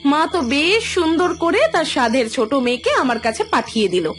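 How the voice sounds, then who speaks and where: A hot-tempered elder sister in a horror radio drama. Her voice is extremely high and forceful, with animated, demanding, colloquial delivery over background music. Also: fast talker. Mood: angry, scolding. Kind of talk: Bengali audio horror story acting.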